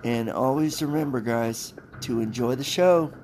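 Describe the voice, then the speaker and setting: A man's voice: medium pitch, measured pace, darkish tone, slight background noise. A man introducing an episode casually as a podcast host. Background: music.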